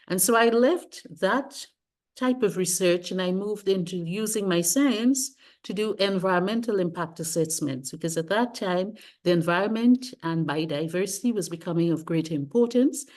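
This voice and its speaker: Measured, fairly low-voiced Guyanese-born woman. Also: a little mellow. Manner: measured, careful, rephrases mid-sentence. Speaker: guest, sustainability consultant. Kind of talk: interview, long career account.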